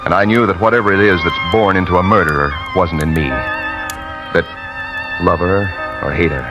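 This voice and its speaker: Guy acting a haunted noir killer on the run, deep voice, halting and tense, old radio show w loud music. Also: steady tone, highs cut off, lightly acted. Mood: brooding, tense. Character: haunted, desperate man on the run, narrating his crime. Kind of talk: 1940s-50s radio noir acting, confessional narration and dialogue.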